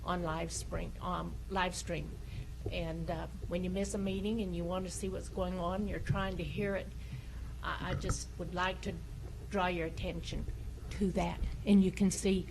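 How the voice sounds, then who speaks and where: Woman resident with a loud, direct voice. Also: fairly low pitch, flat delivery, measured pace, gravelly. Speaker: resident addressing council. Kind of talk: public comment at a meeting. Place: city council chamber. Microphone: podium microphone on a stand. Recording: noisy council meeting recording.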